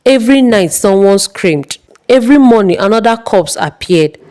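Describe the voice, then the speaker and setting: A woman narrating a dramatic folktale in a solemn, suspenseful and measured way. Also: mid-range voice, lively intonation, dark tone. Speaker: storyteller.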